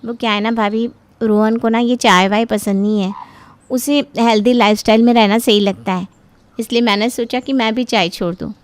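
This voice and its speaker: A woman voicing a chatty, headstrong young bride-to-be in a cartoon, expressive in manner. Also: on the high side, normal speed, no echo, lightly acted. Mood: coy, earnest. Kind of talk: cartoon voice acting.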